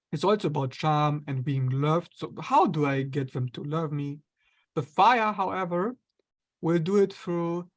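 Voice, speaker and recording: A man, an astrologer guest, explaining ideas in a relaxed conversational way, sometimes acting out inner voices. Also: on the high side. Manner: explains with acted-out inner voices and light laughs.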